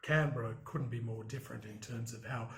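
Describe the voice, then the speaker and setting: A man's voice: mid-range voice, steady tone, fairly bright tone. Reflective man in an echoey room. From Australia. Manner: measured, reflective, with frequent ums and restarts. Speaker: interviewee, broadcast journalist. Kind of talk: conversational interview answers.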